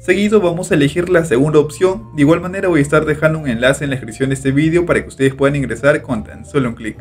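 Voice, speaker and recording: A man calmly narrating a step-by-step screen tutorial as host, walking through each click. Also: on the high side, fast talker, faint music behind. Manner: steady instructional narration, repeats click steps. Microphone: voice-over over screen recording.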